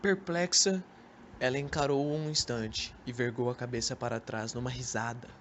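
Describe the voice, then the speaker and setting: A man's voice: mid-range voice, steady tone, normal speed, fairly bright tone. A young man with a Brazilian accent reading scripted literary narration for an amateur radio drama. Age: young adult. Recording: amateur recording with background noise.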